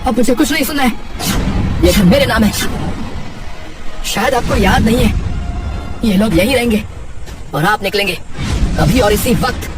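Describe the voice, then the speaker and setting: Cartoon grandson, young, high-pitched and intense played by a woman, repeating himself emphatically; distant, music underneath. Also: natural voice. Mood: furious. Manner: emphatic, halting, repeats phrases. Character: grieving, furious young grandson confronting his cruel father. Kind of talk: dramatic cartoon voice acting.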